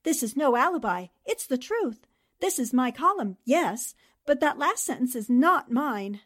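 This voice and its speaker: Woman playing a high-pitched, spirited lady columnist. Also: a little slow, exaggerated voice. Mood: indignant. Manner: expressive, theatrical. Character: spirited, indignant female newspaper columnist. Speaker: actor in an audio drama. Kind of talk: 1940s radio drama acting.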